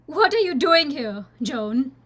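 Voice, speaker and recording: Young highborn lady, acted by a woman, high pitch, lively intonation, fretful, genteel, radio drama. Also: takes it slowly, heavily acted. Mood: startled, uneasy. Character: highborn young English-raised lady, anxious and guarded.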